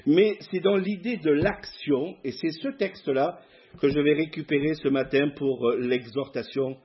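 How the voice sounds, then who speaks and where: A middle-aged man with a southern French accent, a guest preacher giving a sermon in church, hesitant with many pauses. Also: on the high side, highs cut off. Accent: southern French (Provence). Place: church hall before a masked congregation.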